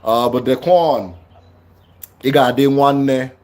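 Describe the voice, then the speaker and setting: Loud-voiced man lecturing on livestream. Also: medium pitch, slow. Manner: loud, emphatic, keeps asking "right?". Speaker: livestream host teaching spirituality.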